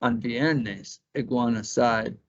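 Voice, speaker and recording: A man narrating a children's picture book aloud, expressive and deliberate. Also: medium pitch. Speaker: storybook reader. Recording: slightly dull lo-fi recording.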